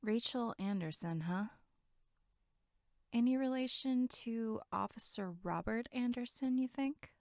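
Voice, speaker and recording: A woman's voice: on the high side, a little slow, darkish tone, no room sound. Woman playing a snarky young camp counselor, dry close sound. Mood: curious, teasing. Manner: sarcastic, blunt, casual. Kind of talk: audio drama acting.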